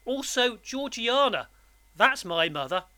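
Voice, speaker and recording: A man's voice: sits high, animated, takes it slowly, sharp tone, full character voice. A man voicing a small, timid, frightened boy in a storybook, stammering politely and pleading.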